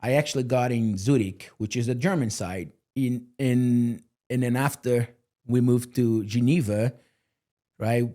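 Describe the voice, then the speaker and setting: Male guest, mellow and earnest, motivational, filler-heavy. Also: medium pitch. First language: Portuguese. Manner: earnest, motivational, lots of "you know" fillers. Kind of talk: candid podcast interview.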